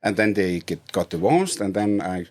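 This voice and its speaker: A man in his fifties from Denmark with a Danish accent, a podcast guest talking casually with many pauses and restarts. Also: deep voice, expressive, a little mellow. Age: 50s. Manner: hesitant, many fillers and restarts, laughs easily. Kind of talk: casual interview chat.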